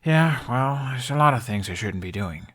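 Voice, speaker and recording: A man voicing an awkward young prince who tinkers, hesitant and deadpan, in a story reading. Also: medium pitch, moderate pace, pitched above the performer's own voice. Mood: rueful.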